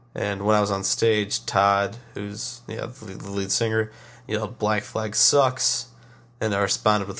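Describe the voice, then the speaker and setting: A man's voice: lowish voice, fairly bright tone, slight background noise. A young man with a cold and a nasal voice, hosting a podcast in rambling casual chat full of fillers and restarts. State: has a cold. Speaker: podcast host.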